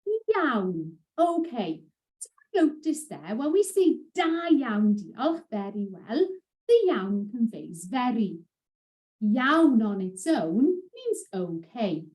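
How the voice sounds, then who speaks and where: A woman with a South Welsh accent, touched by West Wales, teaching an online language lesson and drilling phrases with slow, clear repeats. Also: medium pitch, a little mellow. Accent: South Welsh, some West Welsh.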